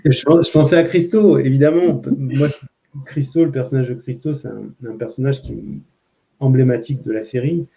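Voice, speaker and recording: Very mellow, informal Frenchman, muffled sound. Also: medium pitch, steady tone, moderate pace, vocal fry. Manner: informal, hesitant, searching for words. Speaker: comics artist, guest on a panel. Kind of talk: casual panel talk. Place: public panel at a comics festival. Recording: muffled, dull recording.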